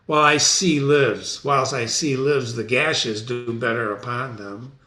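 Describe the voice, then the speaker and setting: A man's voice: mid-range voice, fairly even intonation, a little slow, sharp tone, soft background music, pitched below the performer's own voice. A man playing Macbeth, a proud, defiant, doomed warrior king, declaiming archaic verse.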